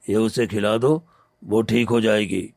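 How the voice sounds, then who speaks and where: A man's voice: fairly low pitch, steady tone, unhurried, creaky, a little mellow, dry recording, clean studio sound. A man playing a callous, scheming elder brother and rich moneylender in a cartoon story, grave and commanding. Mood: feigned concern. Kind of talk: cartoon moral story acting.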